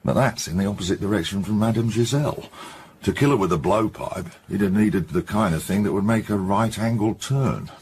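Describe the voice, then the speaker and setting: An actor playing a gruff, no-nonsense middle-aged English chief inspector in a radio detective drama, blunt and colloquial. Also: male voice, on the low side, a little mellow, slight background noise, lightly acted. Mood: brisk musing. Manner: blunt, colloquial, brisk summing-up.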